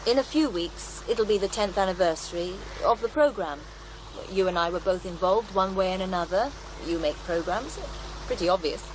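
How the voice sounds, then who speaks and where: A woman's voice: medium pitch, normal speed, close to an everyday voice. Actress as earthy middle-aged commune healer lady, dry and teasing, radio play, lots of background noise. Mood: earnest, knowing. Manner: wry, matter-of-fact, gently teasing. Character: wry, earthy woman commune healer, formerly a doctor. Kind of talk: audio drama dialogue. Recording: noisy outdoor ambience bed.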